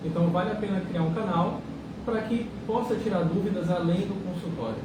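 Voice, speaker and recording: A man's voice: high-pitched, a little fast, distant mic, noisy background. Male doctor, echoey. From Salvador, Bahia, Brazil. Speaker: radiation oncologist. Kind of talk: interview talk.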